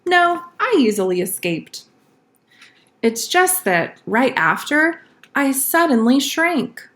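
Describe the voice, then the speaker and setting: A woman's voice: high voice, very animated, takes it slowly, fairly bright tone, pitched above the performer's own voice, exaggerated voice. A woman voicing a schoolboy from a storybook, earnestly telling tall-tale excuses. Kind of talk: storybook read-aloud.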